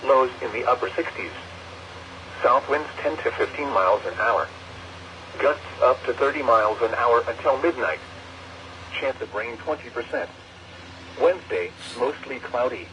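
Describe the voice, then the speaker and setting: Synthetic man's voice, low-pitched to medium, automated radio weather announcer, formal measured phrasing, clearly noisy radio recording. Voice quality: synthetic text-to-speech voice. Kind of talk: scripted weather forecast announcement.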